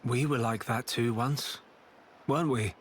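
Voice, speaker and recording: A man's voice: medium pitch, measured pace, a little hiss or hum, natural voice. A man playing a thoughtful young hero in a video game, speaking sincerely and reflectively. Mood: reflective, determined. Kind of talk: dramatic video game voice acting.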